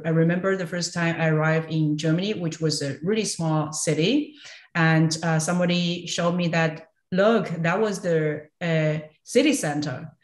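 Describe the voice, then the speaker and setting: A woman from China, in her thirties, answering podcast questions hesitantly with many pauses and light laughs. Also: on the low side, echoey room. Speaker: guest entrepreneur.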